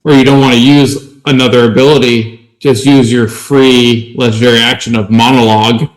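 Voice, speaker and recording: A man's voice: mid-range voice, level tone, moderate pace, poor audio. A man co-hosting a podcast, chatting casually about tabletop gaming. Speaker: podcast co-host.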